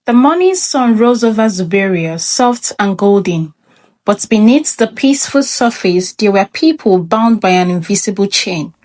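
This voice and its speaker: A woman narrates a scripted tale at a middling pitch. She tells it with measured, expressive delivery, like a storyteller reading a moral fable.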